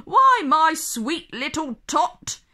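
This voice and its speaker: A woman voicing a sly, mean old male storybook creature, fake-sweet and gloating in a drawn-out way. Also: high voice, sing-song, pushed and bright, exaggerated voice.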